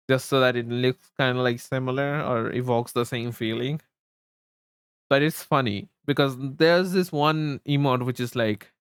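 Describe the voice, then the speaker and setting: Guy chatting on stream, slow n halting, repeats himself, close dry mic. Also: mid-range voice, clear, resonant tone, no room sound. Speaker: live streamer and host. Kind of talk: casual livestream chat with viewers. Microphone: close streaming microphone.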